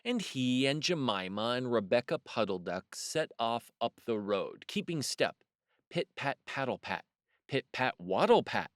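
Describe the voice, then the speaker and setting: A man reads a children's tale in a medium-pitched voice with very animated intonation. He leans expressively on key words, like a storyteller with young listeners. The sound is dry. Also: no room sound. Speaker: storyteller, host of a read-aloud series.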